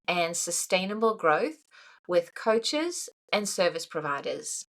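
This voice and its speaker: A woman hosting a podcast interview, upbeat and affirming as she introduces and questions her guest. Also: medium pitch. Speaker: podcast host.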